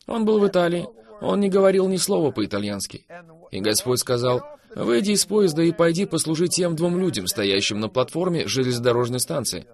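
Fervent preaching man, lively pitch. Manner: fervent, emphatic, swings between loud bursts and soft drawn-out phrases. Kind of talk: preaching conversation.

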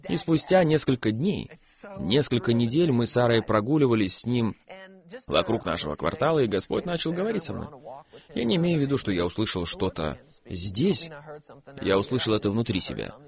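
Animated man preaching a sermon. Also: medium pitch, fairly quick, creaky, lo-fi sound. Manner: animated storytelling, emphatic repetition. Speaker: preacher, guest on a program.

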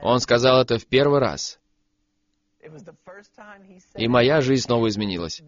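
A man's voice: medium pitch, animated, a little slow, dull audio. A young adult man, a guest preacher on a program, tells a personal story as part of a sermon and makes his points with emphatic repetition.

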